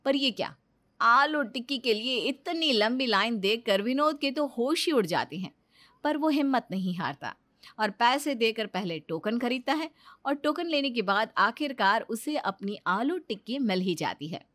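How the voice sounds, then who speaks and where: A woman storyteller with a fairly high voice narrates a scripted tale for children. Her delivery is expressive, and the recording is dry and close. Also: moderate pace, dry recording.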